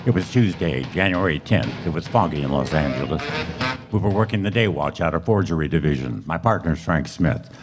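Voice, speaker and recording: Guy doing a deadpan old-time radio cop, really deep voice, short clipped lines. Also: brisk, music in the background. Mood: flat, matter-of-fact. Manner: clipped, deadpan, matter-of-fact. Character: terse, businesslike male police detective sergeant. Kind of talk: 1950s radio drama narration and dialogue.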